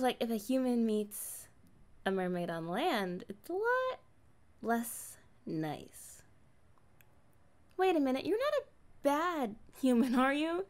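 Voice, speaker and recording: A young woman playing a kind, curious but guarded mermaid in a conversational ASMR roleplay, chatting casually with hesitant pauses. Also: on the high side. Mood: chatty, mildly annoyed, then wary, warning.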